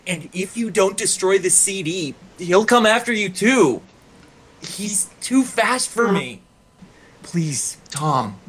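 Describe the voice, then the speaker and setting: A man voicing a terrified, broken young man, pleading haltingly in a horror story. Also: a little high, a bit bright, a little hiss or hum, voice pushed up, poor audio. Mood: pleading, hopeless. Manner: halting, pleading, drawn-out words. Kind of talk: dramatic horror story reading.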